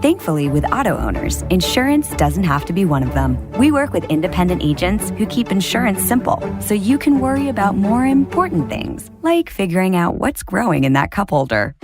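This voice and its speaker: A woman's voice: mid-range voice, very animated, fairly quick, few pauses. A woman narrating a scripted commercial as a voice-over. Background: prominent music bed.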